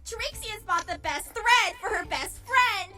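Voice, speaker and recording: A very high, sing-song cartoon voice for a boastful young unicorn magician. She is grandiose and pushily friendly, with theatrical swoops in every phrase. Music plays behind her. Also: expressive, unhurried. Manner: theatrical, grandiose, refers to self in third person. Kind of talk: animated cartoon fan-series voice acting. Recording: background music under the dialogue.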